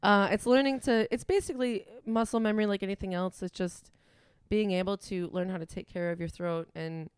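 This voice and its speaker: A young adult woman with a Wisconsin accent, a musician chatting casually in an interview, full of "like" and "um" and quick to laugh. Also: mid-range voice, steady tone, clear, resonant tone, dry recording, clean studio sound. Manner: chatty, frequent "like" and "um", laughs easily. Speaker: musician guest.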